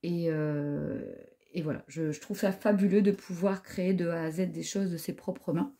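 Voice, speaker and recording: A woman's voice: on the low side, steady tone, moderate pace, mellow. A woman in her forties chatting casually about yarn she found, hesitant with many fillers and restarts. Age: 40s. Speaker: knitting vlogger. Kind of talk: casual product review chat.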